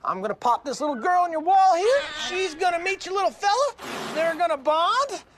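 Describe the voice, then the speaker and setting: Sitcom role: scrappy cat-obsessed male bar owner, very high voice, wildly swooping delivery, erratic and cocksure. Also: expressive, brisk, background noise. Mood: confident scheming. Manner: insistent, erratic, self-assured rambling. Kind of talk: sitcom acting.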